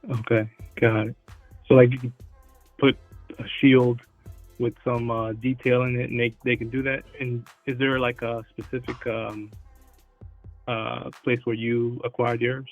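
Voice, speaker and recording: A man calling in with questions, hesitant and halting, full of ums and uhs. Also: mid-range voice, little pitch movement, no echo, narrow, tinny audio, faint music behind. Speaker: caller. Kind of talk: phone-in questions.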